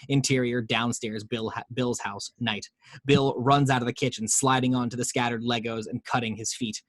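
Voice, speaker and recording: A man reads out screenplay directions as scripted narration. His voice sits at an average pitch, and the recording is dry with very little room in it. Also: fairly bright tone, dry recording. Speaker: reader of action descriptions in a table read.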